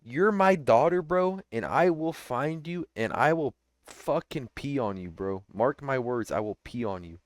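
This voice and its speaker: A man trading mocking, deadpan taunts on a voice call. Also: mid-range voice, unhurried, few pauses, no echo. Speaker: streamer. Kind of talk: trolling banter on a voice call.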